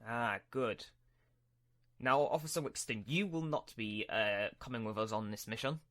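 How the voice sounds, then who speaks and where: A man playing a scheming, imperious Sith lord in a Minecraft roleplay, speaking in halting, deliberate phrases. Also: medium pitch, fairly bright tone, slight background noise, close to an everyday voice. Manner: halting, deliberate, with drawn-out pauses. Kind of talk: hobby Minecraft roleplay acting.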